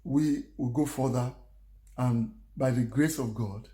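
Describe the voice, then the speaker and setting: A man's voice: medium pitch, a little slow, dark tone. Earnest male language teacher, echoey room. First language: Yoruba. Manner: earnest, repetitive, encouraging. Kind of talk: spoken language lesson.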